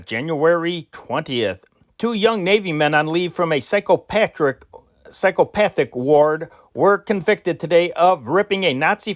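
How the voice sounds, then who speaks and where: A man reading old newspaper dispatches aloud as an amateur news reader. His reading is measured, with occasional slips and self-corrections. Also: on the high side.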